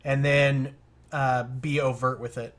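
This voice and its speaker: Man, experienced game master, relaxed advice, lots of fillers, mid pitch. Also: slow. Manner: relaxed, many fillers, explanatory. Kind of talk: casual feedback discussion on a call.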